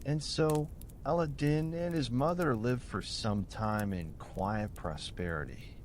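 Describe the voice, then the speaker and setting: A man narrating a bedtime story in a soothing, measured, deliberate storybook style. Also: medium pitch, slight background noise.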